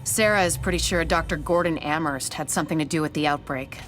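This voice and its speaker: An actress playing a calm, courteous woman in charge of a struggling base in a video game cutscene. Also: low voice, quick pace, noisy background, close to an everyday voice. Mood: patient, composed.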